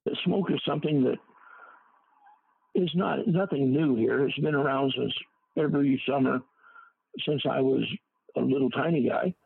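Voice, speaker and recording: An older man talks in a gravelly, husky voice, stopping and restarting as he recalls things. The sound is dry and tinny, like a phone line. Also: medium pitch, no echo. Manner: halting, with many fillers and restarts. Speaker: interviewee. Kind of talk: casual recollection.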